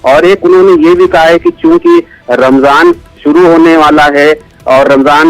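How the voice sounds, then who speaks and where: Correspondent: North Indian man, explanatory live report, fairly high pitch, very dry sound. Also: no room sound, rough recording. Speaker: news correspondent.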